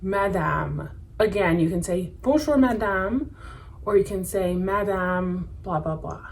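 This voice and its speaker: American woman teaching a slow-paced lesson. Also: mid-range voice, slight background noise. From United States. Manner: patient, measured, slows to repeat words carefully. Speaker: French instructor. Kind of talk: explanatory lesson to camera.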